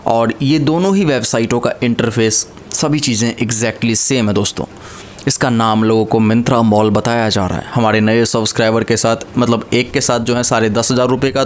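A young man speaking casually to camera from a small room, earnestly warning his viewers about an online scam, holding a small mic near his chin. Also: medium pitch. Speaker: cyber-safety awareness YouTuber. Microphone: small handheld mic held near chin.

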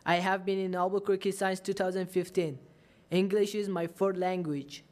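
A man's voice: high voice, level tone, normal speed, a little mellow. A teenage boy who grew up in Turkey and speaks Dari natively, reading a prepared public comment at a podium microphone in a large council chamber. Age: teens.

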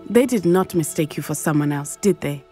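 A woman playing an earnest, brave teenage schoolgirl witch in a video game, speaking in measured, formal phrases. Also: low-pitched, animated, warm tone. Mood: serious, concerned. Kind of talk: video game cutscene acting. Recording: music behind the voice.

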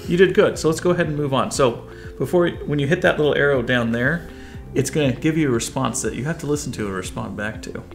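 A man's voice: mid-range voice, fast, soft background music. A man in his forties with an American accent, explaining and coaching as a video host in a casual instructional style. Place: furnished living room, indoors.

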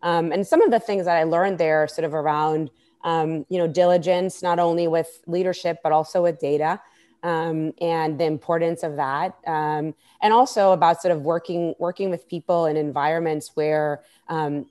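A woman's voice: low-pitched, warm tone. A woman in her thirties from Israel answering conversationally as an interview guest on a video call, with frequent hesitant fillers. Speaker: interview guest, startup founder. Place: indoor room, video call. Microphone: earphone mic on video call.

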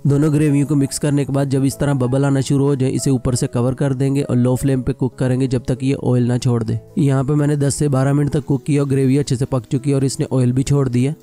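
A man narrating a cooking video voice-over, explaining each step calmly and plainly. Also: medium pitch. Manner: calm step-by-step instruction. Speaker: cooking channel host.

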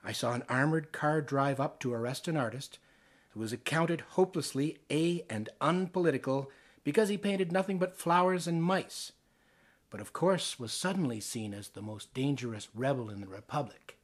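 A Canadian man from Ontario reading poems aloud, expressively and theatrically, with deliberate phrasing and pauses. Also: mid-range voice. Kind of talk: expressive dramatic poetry reading.

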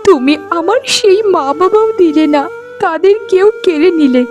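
A woman plays a rejected young wife in a cartoon melodrama. The voice is extremely high, humble and full of lament. Music runs underneath. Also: expressive, normal speed, a little mellow. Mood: despairing. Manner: plaintive, lamenting.

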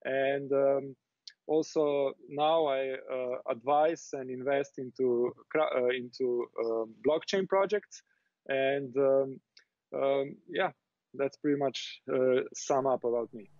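A man's voice: mid-range voice, fairly even intonation, slow pace, darkish tone, no echo. A man in his thirties from Slovenia, a native Slovene speaker, answering interview questions over a video call in a hesitant way with many fillers. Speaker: basketball club president, interview guest. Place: small office indoors. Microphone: earbuds on video call. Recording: dry lo-fi video call audio.

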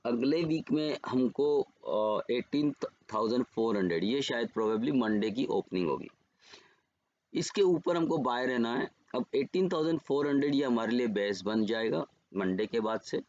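A man with an Indian accent giving trading levels over charts as a market educator, unhurried and pausing often to correct himself. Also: medium pitch, animated, darkish tone, muffled recording. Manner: unhurried, pauses and self-corrects while reading levels. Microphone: screen recording voice-over.

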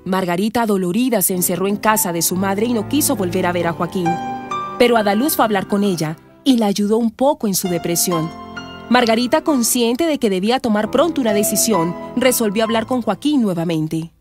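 A woman's voice: medium pitch, lively intonation, a little fast, music in the background, close to an everyday voice. A Colombian woman narrating a scripted radio soap opera as its storyteller.

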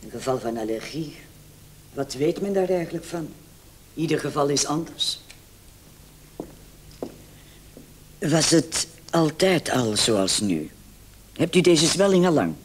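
A man playing a brisk, condescending emergency doctor on night duty in a vintage radio play. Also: fairly high pitch, animated. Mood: clinical, matter-of-fact. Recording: old radio recording with clear hiss.

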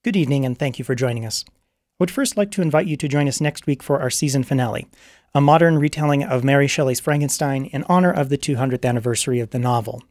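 A man's voice: medium pitch, fast, a little mellow, dry recording, studio quality. A man giving a polite, formal scripted introduction as producer and host of a radio drama. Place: radio studio, live broadcast.

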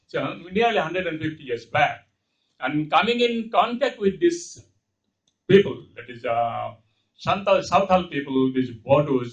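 Older Indian man lecturing, very animated pitch. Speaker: speaker at a panel talk. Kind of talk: extempore public lecture. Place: lecture hall, at a podium. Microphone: podium microphone, heard from far off. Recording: dull, distant hall microphone recording.

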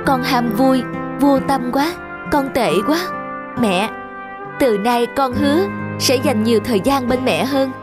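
An actress playing an affectionate, devoted young working woman in an animated drama. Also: sits high, measured pace, music in the background. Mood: tender remorse.

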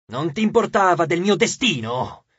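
A man's voice: high-pitched, big pitch swings, moderate pace, fairly bright tone, slightly rough, no room sound, muffled recording, exaggerated voice. A man voicing a wary young demigod hiding in disguise, probing and hesitant. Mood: accusing.

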